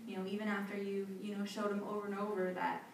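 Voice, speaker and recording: A young woman in an interview, chatty and animated, with plenty of casual fillers and easy laughter. Her voice sits at a medium pitch. The mic is some distance off, so the sound rings around a large echoey hall with a faint hiss underneath. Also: fast. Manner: chatty, animated, laughs often, many "you know" and "like" fillers. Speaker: interviewee, volunteer back from abroad. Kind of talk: conversational interview answers.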